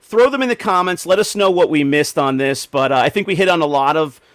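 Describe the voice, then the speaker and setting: Male panel host, slightly higher register, dry sound, casual and keen. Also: fast, dry recording. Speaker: podcast host.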